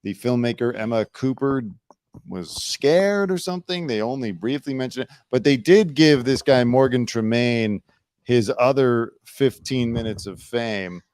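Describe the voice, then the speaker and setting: A man hosting a casual talk show, telling stories in a relaxed, chatty way. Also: mid-range voice, a little slow, smooth, dry recording, studio quality. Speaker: host. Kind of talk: casual chat.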